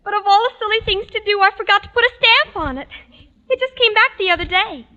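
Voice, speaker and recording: A bright, high-pitched schoolgirl in a 1940s radio comedy. She is earnest and chatty, heard through muffled old broadcast sound. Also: lively intonation, quick pace, in a large hall, full character voice. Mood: excited. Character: young schoolgirl, earnest, chatty, principal's daughter.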